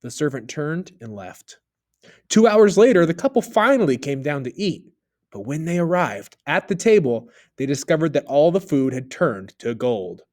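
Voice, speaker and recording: Animated male narrator for a read-aloud fable, bright and lively melody. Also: moderate pace. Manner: expressive, dropping low for narration tags. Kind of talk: children's story read-aloud.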